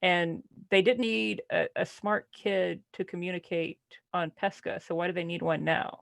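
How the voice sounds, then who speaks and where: Woman podcast panelist w/ creaky voice, mid pitch, sarcastic casual chat, really dry sound. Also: steady tone, moderate pace, no room sound. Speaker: book club podcast panelist. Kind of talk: casual, joking book discussion.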